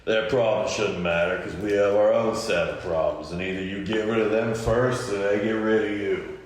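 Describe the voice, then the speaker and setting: A low-pitched man reads an action film script aloud as part of an amateur table read. He is a podcast co-host, and his voice rings heavily around a very echoey room. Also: fairly even intonation, normal speed, a little hiss or hum.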